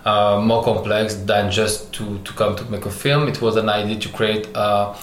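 A man from Algeria who grew up in France, a French speaker talking as an artist guest in an interview, pausing often and searching for English words. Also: lowish voice, fairly even intonation. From Algeria, raised in France. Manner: many pauses and restarts, searching for English words. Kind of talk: halting interview answers. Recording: echoey room with faint noise.

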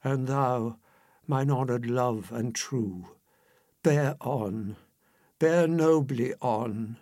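A man's voice: mid-range voice, drawn-out words, warm tone, no room sound, clean studio sound. A man voicing a devoted, anguished noblewoman in an impassioned, declamatory verse recitation. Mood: tender, exhorting. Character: devoted, anguished noblewoman pleading to stay with her dying husband.

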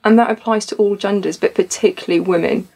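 A woman's voice: mid-range voice, fairly even intonation, quick pace, a little mellow. A young woman from Tunisia, a student society founder, talking earnestly and reflectively in a podcast conversation.

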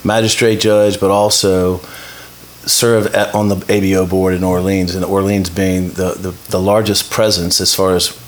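Lower-voiced man hosting an interview. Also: little pitch movement. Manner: wordy, halting, repeats words. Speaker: host interviewing a guest. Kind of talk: conversational interview.

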